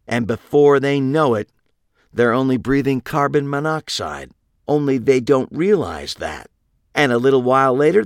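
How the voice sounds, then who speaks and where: A man's voice: mid-range voice, dry recording, clean studio sound, voice pushed up. A male narrator voicing a smug, menacing killer who lectures his captives in a taunting manner. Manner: taunting, lecturing, enjoys explaining. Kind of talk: audiobook character reading.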